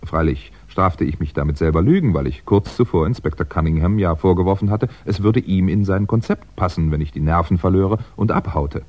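A man's voice: low-pitched, brisk, hardly any pauses. An actor playing a wry crime writer telling his own story in a 1960s German radio drama, reflective and self-mocking. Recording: dull vintage 1960s radio recording.

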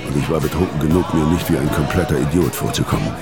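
Very deep-voiced, hard-bitten hitman. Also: fast. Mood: reflective. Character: weary, hard-bitten male hitman recounting his brutal past. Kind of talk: radio crime drama, first-person narration and dialogue. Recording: music behind the voice.

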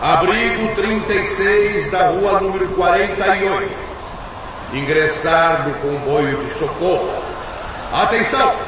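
A commanding male rescue leader calling names in an authoritative, announcing tone with a high voice, as an actor plays him. He sounds far from the mic with a long echo. Noise runs under the lo-fi sound. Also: measured pace. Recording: echoey distant lo-fi voice in a hall with noise.

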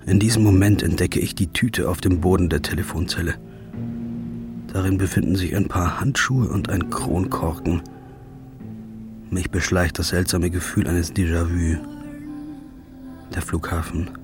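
Male actor as a brooding journalist narrator, very deep voice, music bed, radio play. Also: steady tone, normal speed, pauses often, natural voice. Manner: low, subdued inner monologue. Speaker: narrator and lead character, a journalist. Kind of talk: first-person audio drama narration. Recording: music underneath the voice.

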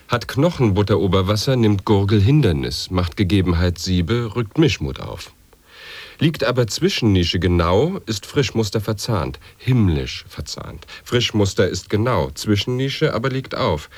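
A man acting a brooding speaker of absurd word puzzles in an avant-garde radio play, with deliberate, weighty delivery. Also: on the low side, lively intonation, no echo. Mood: deliberate, declamatory. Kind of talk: avant-garde radio play monologue of sound poetry and wordplay.

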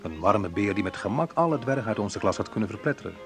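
An actor playing a reflective man in a naturalistic radio drama, talking in a conversational, hesitant, wry way with a husky voice. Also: fairly low pitch, animated, fast, soft background music. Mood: wry, nostalgic. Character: Menno, reflective man recalling a school friend. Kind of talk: naturalistic radio drama monologue and phone talk.

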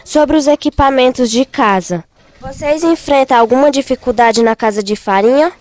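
A woman's voice: high voice, normal speed, darkish tone, dry recording. Young Cearense girl reporter, dry close sound. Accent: Northeastern Brazilian, Ceará. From Ceará, Brazil. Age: child or young student. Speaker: radio reporter. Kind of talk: scripted interview questions.

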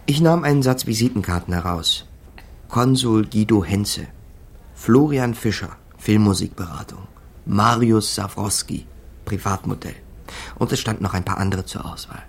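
An actor playing a smooth-talking small-time crook in a radio crime drama, wry and rambling, both talking and narrating. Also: male voice, fairly low pitch, moderate pace. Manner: wry, hesitant, rambling in dialogue.

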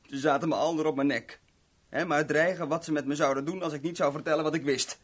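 A man's voice: fairly high pitch, fairly even intonation, fairly quick, natural voice. An actor playing a cocky, scheming small-time crook in a 1970s Dutch radio drama, chummy and mock-friendly as he boasts and explains. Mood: chummy, explaining.

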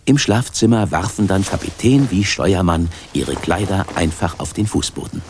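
A man's voice: low voice, expressive, moderate pace, background noise. A man reading scripted narration for a children's story. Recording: studio narration under loud music. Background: loud music.

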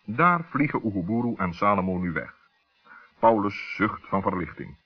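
A man narrates a children's story with lively swings of pitch and a rough, husky tone. It is a dull old radio recording. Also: moderate pace, soft background music.

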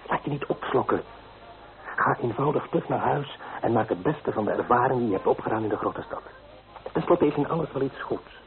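A man's voice: medium pitch, animated, brisk, natural voice. An actor playing a kindly, fatherly newspaper writer in a radio play, reassuring and paternal in manner. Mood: firm, encouraging. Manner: reassuring, paternal, murmured hms. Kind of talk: Dutch radio play acting. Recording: thin, phone-like old radio recording.

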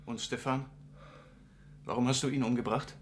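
An actor in a radio play playing a bitter accuser, deliberate, sardonic and goading. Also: male voice, medium pitch, fairly even intonation, a little hiss or hum, lightly acted. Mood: goading, accusing. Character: bitter, accusing man relentlessly exposing a murderess.

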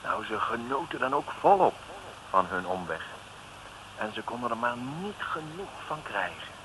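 A male narrator of a vintage radio comedy, speaking at a middle pitch. He is playful and chatty, slipping in wry asides, on a clearly noisy old recording. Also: very animated, moderate pace.

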